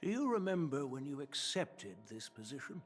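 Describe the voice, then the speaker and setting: An actor playing an old grandfatherly general in a video game, speaking in a measured, formal and weighty way. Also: on the high side, sing-song, slight background noise. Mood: solemn. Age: older. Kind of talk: video game character dialogue. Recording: music behind the voice.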